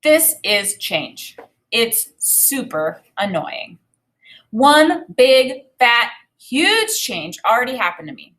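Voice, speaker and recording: A woman in her thirties reading a children's book aloud as a teacher, expressive and deliberate, pausing to think aloud. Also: a little high. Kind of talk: read-aloud lesson with think-aloud commentary. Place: small indoor room with desk and bookshelf.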